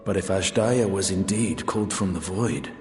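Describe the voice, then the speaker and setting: A man plays a serious, pragmatic fantasy game warrior with a lowish voice. The sound is echoey with a long hall tail and faint music. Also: normal speed, a little mellow, close to an everyday voice. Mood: grave. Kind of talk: fantasy video game dialogue.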